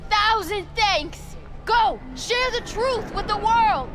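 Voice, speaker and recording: An actress playing a fervent, paranoid devotee of a street prophet in a video game, agitated and zealous. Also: female voice, very high voice, animated, slow pace, noisy background, full character voice. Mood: grateful, fervent. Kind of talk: dramatic video game voice acting.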